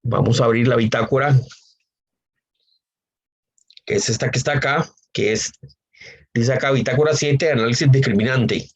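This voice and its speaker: A man teaching a university statistics class online, hoarse from a cold, speaking unhurriedly with frequent fillers and repeated phrases. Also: mid-range voice, a little fast. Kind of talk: online lecture.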